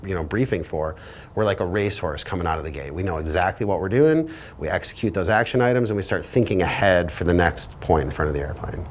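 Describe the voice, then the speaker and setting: Deep-voiced man explaining like an instructor. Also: fast talker. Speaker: flight instructor. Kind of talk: explanatory lesson talk.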